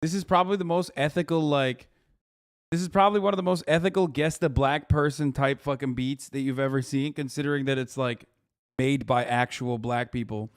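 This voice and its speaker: A man's voice: mid-range voice, fairly even intonation. A man streaming his reaction to a video, in casual, incredulous talk with frequent swearing. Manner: incredulous, swearing, quick asides.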